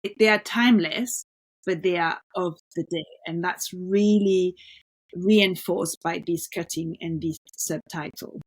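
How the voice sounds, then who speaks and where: A woman's voice: fairly low pitch, unhurried, slightly rough. Woman co-host explaining art, hesitant. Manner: explanatory, many fillers and restarts. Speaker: podcast co-host. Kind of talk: casual conversation about art.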